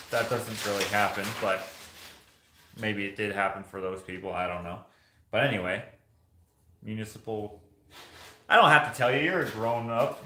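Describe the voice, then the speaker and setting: A man hosting a live build stream, chatting casually with viewers while he works and often correcting himself mid-sentence. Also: on the low side. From Canada. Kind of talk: casual chat while working. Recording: echoey workshop with loud background noise.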